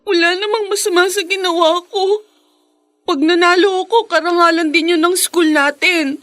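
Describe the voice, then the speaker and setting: A woman's voice: very high-pitched, fairly even intonation, moderate pace, fairly bright tone. A woman playing a mistreated poor schoolgirl in a Filipino radio drama, wounded and emotional. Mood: hurt, pleading. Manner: plaintive, pleading.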